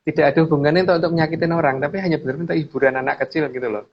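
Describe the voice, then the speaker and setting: A man's voice: fairly high pitch, steady tone, very fast. A middle-aged man explains his opinions in casual discussion, often repeating phrases and seeking agreement. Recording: dull lo-fi audio, clear background noise.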